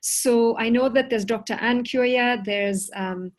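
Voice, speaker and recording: A woman's voice: fairly high pitch, steady tone, slow pace. A woman hosting a video call meeting, calling on participants and giving friendly, slightly hesitant instructions. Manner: organizing, friendly, a little hesitant. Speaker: host. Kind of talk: moderating an online meeting.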